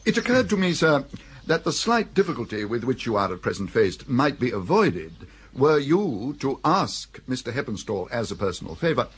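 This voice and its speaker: An actor playing a deferential, unflappable English valet, formal, measured and drily understated, in a radio comedy. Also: male voice, mid-range voice, big pitch swings, slightly rough. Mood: tactful suggesting. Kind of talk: radio comedy drama acting.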